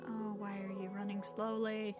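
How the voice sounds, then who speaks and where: A woman's voice: medium pitch, level tone, normal speed. A woman livestreaming a game, chatting casually and commenting as she plays. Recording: loud game music behind. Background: loud game music.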